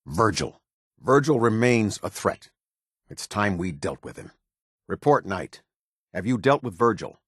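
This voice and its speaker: An actor playing a stern, disciplined military captain in a video game, speaking in a clipped, formal, authoritative manner. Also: male voice, on the low side, sing-song, unhurried, long pauses, a bit bright, breathy edge, no echo, studio quality, heavily acted. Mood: stern, commanding. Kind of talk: scripted video game dialogue.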